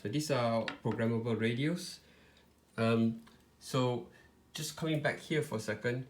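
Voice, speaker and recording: A man presents a hands-on tutorial in an informal style. His voice sits at an average pitch. He explains step by step, somewhat haltingly, with plenty of pauses. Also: slight background noise. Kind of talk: informal step-by-step product demonstration.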